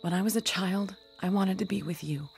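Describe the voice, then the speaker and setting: An actress playing a guarded young bride-to-be in a radio drama, gentle but quietly resolute. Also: female voice, mid-range voice, fairly quick, creaky, faint music behind, natural voice. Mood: hushed, resolute. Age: young adult.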